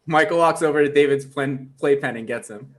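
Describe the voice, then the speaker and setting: A man's voice: fairly high pitch, brisk. A man reading stage directions as narrator in a scripted comedy table read.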